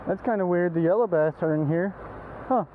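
Casual male outdoor vlogger, highish voice, buddy slang, narrow phone-like sound with faint noise. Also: brisk. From East Texas, United States. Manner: informal, exclamatory, buddy-style slang. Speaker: angler vlogger. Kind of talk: casual outdoor vlog chat. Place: outdoors by a creek. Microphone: first-person action camera.

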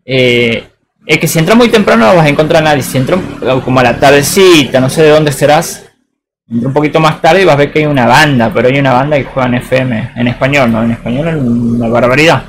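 A man's voice: mid-range voice, fast talker, a little hiss or hum. Rambling Argentine guy streaming a game. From Tucumán, Argentina. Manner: rambling, slangy, says boludo often. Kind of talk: casual live-stream chat while playing.

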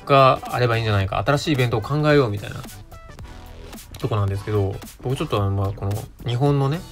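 A man's voice: medium pitch, racing, soft background music. A man around thirty with a Kansai accent, hosting a casual radio show as a solo monologue.

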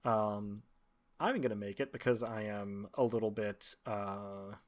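A man's voice: lowish voice, measured pace. A man narrating a tabletop roleplaying game as the game master.

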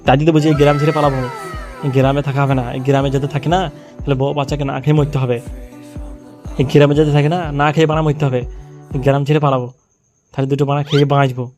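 Man playing fast-talking worried poor village tea seller. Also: a little high, level tone, darkish tone, lightly acted. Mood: desperate. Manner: complaining, repeats himself. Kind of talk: amateur funny cartoon dub. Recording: music behind the voice. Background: music.

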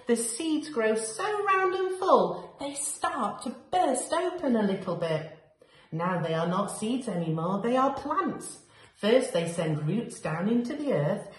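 A woman in her thirties, a school teacher, reading a picture book aloud to young children, climbing high for exciting moments and dropping soft for quiet ones. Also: echoey room, low-quality recording. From England. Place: small bright room at home.

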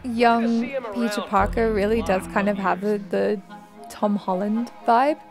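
A woman's voice: mid-range voice, steady tone, unhurried. A woman giving casual reaction commentary as co-host over the sound of a game cutscene. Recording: game music behind. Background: game cutscene audio.

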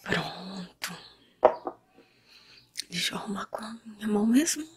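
A young woman with a Brazilian Portuguese accent speaks in a breathy whisper, soft and reassuring, in an unhurried ASMR hairdresser roleplay. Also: medium pitch, lively intonation, heavy background noise. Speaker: ASMR creator playing a hairdresser. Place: small room at home, indoors.

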